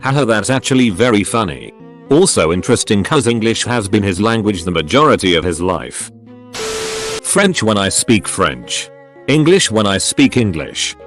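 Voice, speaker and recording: A man reading online forum posts aloud, one after another, in a matter-of-fact way with short pauses between them. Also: on the low side.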